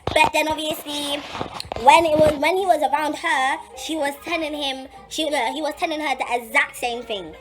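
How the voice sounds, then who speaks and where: A young adult woman with a London accent telling a personal story in casual, slangy, animated chat, repeating phrases for emphasis. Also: high voice, moderate pace, faint music behind, rough recording.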